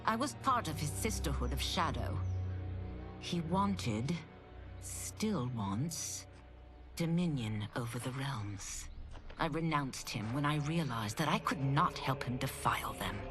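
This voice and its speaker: A woman's voice: very deep voice, lively intonation, takes it slowly, over music, exaggerated voice. An actress voicing a proud demon woman seeking redemption in a video game, formal and intense. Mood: solemn, composed. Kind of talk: video game cutscene acting. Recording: game soundtrack behind.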